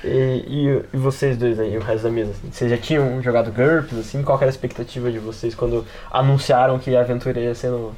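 A man's voice: mid-range voice, steady tone, quick pace, few pauses, a little mellow, slight background noise. A man with a Brazilian Portuguese accent hosting a casual chat in an informal, slangy, jokey way. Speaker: podcast host.

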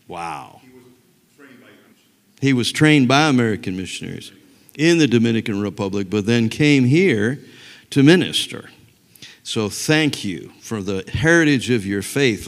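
A male pastor introducing a guest speaker from the pulpit, in a preacher's style with amens. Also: medium pitch. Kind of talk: sermon. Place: church sanctuary. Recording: church hall reverb.

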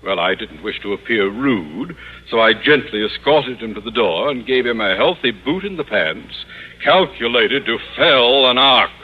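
A man's voice: mid-range voice, expressive, moderate pace, close to an everyday voice. A man acting a pompous, bossy, blustering middle-aged school principal in theatrical old-time radio comedy. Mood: self-satisfied, blustering. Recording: muffled, faintly noisy old radio recording.

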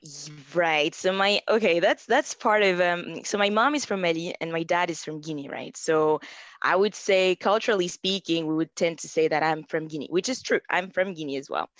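A woman's voice: fairly low pitch, normal speed, dry recording, dull audio. A woman in her twenties chatting casually as an interview guest, laughing often. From Germany. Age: 20s. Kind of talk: casual chat.

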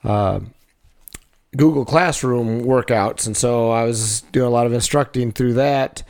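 A man, a wrestling coach, talking over the season in a casual, halting way, full of fillers and restarts. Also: mid-range voice, darkish tone. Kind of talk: casual chat.

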